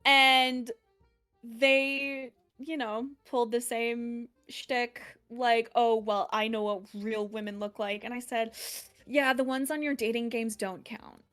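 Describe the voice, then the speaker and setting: Casual woman guest with a low-set voice that still sits a bit above average pitch, playful and rambling, laughs a lot, faint music underneath. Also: steady tone, takes it slowly, a bit bright. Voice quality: deeper voice for a woman. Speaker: streamer, guest. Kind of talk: casual interview chat.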